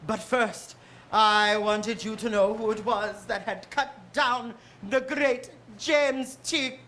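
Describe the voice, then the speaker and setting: A woman playing a grandiose, vengeful warlord villain in a staged musical parody, taunting with menacing, drawn-out theatrical emphasis. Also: a little high, steady tone, fairly bright tone. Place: live stage.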